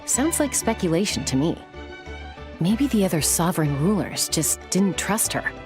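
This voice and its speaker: A woman's voice: lowish voice, big pitch swings, moderate pace, over music. Matter-of-fact female lore teller. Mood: skeptical. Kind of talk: video game voice acting.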